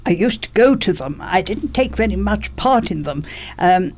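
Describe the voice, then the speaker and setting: A woman's voice: medium pitch, animated, moderate pace. An elderly woman reminisces in an interview, stressing her points by repeating phrases. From England, born in London. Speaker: interviewee, former politician.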